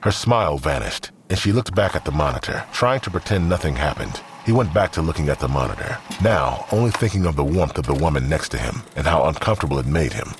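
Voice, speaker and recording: A man narrating a scripted audio drama in a measured, suspenseful, storytelling manner. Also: a bass voice, animated, a little hiss or hum.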